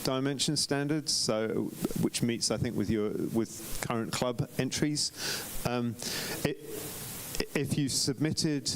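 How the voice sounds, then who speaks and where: A man's voice: medium pitch, slow pace. Chatty man critiquing photos, faint hiss. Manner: chatty, self-correcting, frequent ums and restarts. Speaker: photo competition reviewer. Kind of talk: live-streamed club critique. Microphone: live stream.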